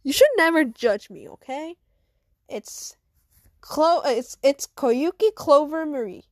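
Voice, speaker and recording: A woman voicing an indignant, sassy middle-school girl in a story, loud, huffy and insistent. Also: high voice, animated, slow pace, dry recording, clean studio sound, heavily acted.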